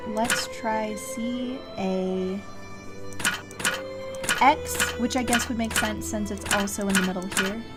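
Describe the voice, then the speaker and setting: A woman streams a puzzle game, talking casually to herself and her viewers. Her pace is slow and musing, at a medium pitch, as she works things out aloud. Game music plays clearly behind her voice. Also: steady tone. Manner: musing to self, reading clues aloud.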